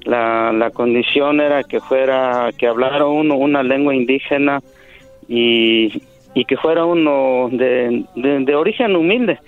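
A man's voice: mid-range voice, measured pace, faint music behind. A middle-aged man from Mexico, a native Zapotec speaker, telling his life story as a radio guest, earnest and hesitant, often repeating words. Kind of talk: radio phone interview. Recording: phone line over radio.

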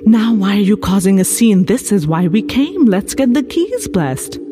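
An agreeable, eager husband, played by a woman reading aloud, coaxing and gently pleading. The pitch often lifts above the reader's middle register. It is a storybook reading with music underneath. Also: big pitch swings, moderate pace, close to an everyday voice. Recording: music bed behind voice.

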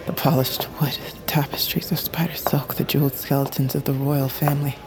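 Meek, hushed bird-folk servant with secrets. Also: female voice, a bass voice, flat delivery, a little fast. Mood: hushed awe. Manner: deferential and hesitant, often hushed to a whisper. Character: female bird-folk assassin posing as a meek lowly scavenger. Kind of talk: audio drama acting. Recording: music behind the voice. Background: music.

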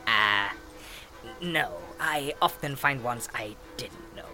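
An actress playing a terse, guarded outsider from an isolated people in a fantasy audio drama, speaking in halting, clipped phrases. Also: female voice, rumbling low, sharp tone, faint music behind, heavily acted. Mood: thoughtful, explaining. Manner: halting, clipped sentences with pauses and murmurs.

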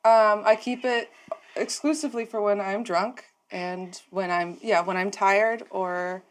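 A woman's voice: mid-range voice, unhurried. Texas woman at a game table, laughs a lot, kinda sheepish. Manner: giggly, laughs often, a bit sheepish. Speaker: player. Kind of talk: casual chat.

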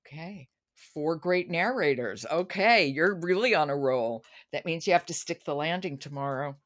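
A woman's voice: low voice, animated, moderate pace. A woman hosting a conversational podcast about books. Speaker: podcast host.

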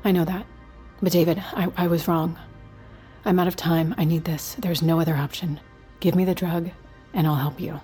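An actress playing a desperate, guilt-ridden dying scientist in a naturalistic audio drama, with halting, hesitant delivery. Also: female voice, on the low side, dark tone, natural voice. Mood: desperate, pleading.

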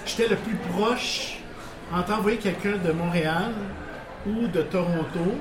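A man's voice: high-pitched, unhurried. Quebec French man, casual guest storyteller, rambling and chuckling, roomy noisy bar recording. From Portuguese background, living in Quebec. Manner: rambling, many restarts, chuckling. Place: bar with live audience. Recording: echoey bar with background noise.

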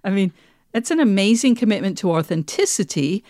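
A woman's voice: medium pitch, big pitch swings, brisk, warm tone, dry recording, studio quality. A woman co-hosting a podcast, speaking in a conversational style. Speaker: podcast co-host.

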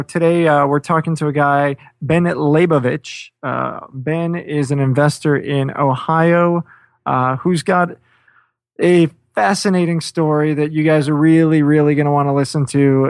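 An energetic male podcast host with a gravelly, slightly high voice. He stumbles through restarts and fillers, and the recording sounds dry and close. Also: dry recording. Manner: energetic, full of fillers and repeated words. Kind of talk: casual podcast hosting.

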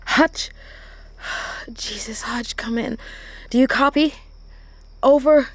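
An actress playing a frightened, blunt-talking truck driver who swears in an audio drama. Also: female voice, on the high side, slow pace, slight background noise. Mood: tense. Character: frightened woman trucker alone on a night road.

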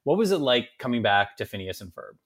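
Casual podcast co-host, gushing and excitable, mid-pitched voice. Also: brisk. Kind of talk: casual chat.

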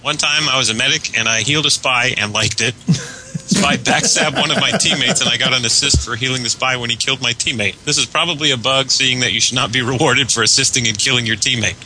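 A man's voice: medium pitch, fairly quick, bright, forceful tone, a little hiss or hum, low-quality recording. A man guesting on a podcast, reading listener mail aloud.

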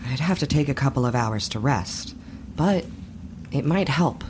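A man's voice: medium pitch, lively intonation, normal speed, lightly acted. A man playing a tentative, helpful spellcaster in a fantasy audio drama, speaking hesitantly. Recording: noisy background ambience.

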